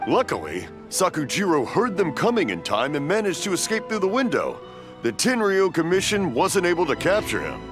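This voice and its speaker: A man's voice: high voice, wildly swooping pitch, normal speed. An actor playing a hard-of-hearing old father, fretful and apologetic, in a video game. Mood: worried. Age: older. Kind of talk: English video game voice acting. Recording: music under the voice.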